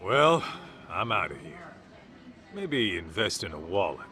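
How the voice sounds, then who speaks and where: A man's voice: sing-song, measured pace, music in the background. An actor playing an easygoing, tough male private detective in a game dub, talking in a casual, cocky, protective way. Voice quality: low, sturdy voice. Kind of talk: English dub game cutscene acting.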